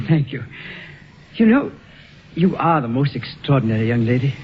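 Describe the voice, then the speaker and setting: An actor playing a polite young English actor, genteel, apologetic and stammering, in 1940s radio comedy style. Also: male voice, medium pitch, animated, unhurried, close to an everyday voice. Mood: charmed, sheepish. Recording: dull, noisy old radio recording.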